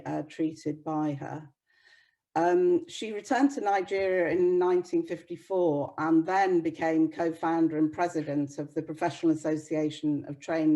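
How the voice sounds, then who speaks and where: A woman with a mellow, warm tone lectures in a steady, measured way. Her pitch stays flat and even throughout, with little rise or fall. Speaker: speaker giving a talk on nursing history. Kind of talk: informal lecture.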